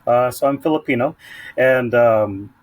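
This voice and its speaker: A man from San Diego, a guest in an interview, talking about his work in a halting way with many ums and restarts. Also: medium pitch, dry recording. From San Diego, United States. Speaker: community program leader, guest. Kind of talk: conversational interview.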